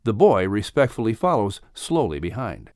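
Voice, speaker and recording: A man reading a scripted audiobook story as its narrator, with expressive delivery. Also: lowish voice, moderate pace, no room sound, clean studio sound.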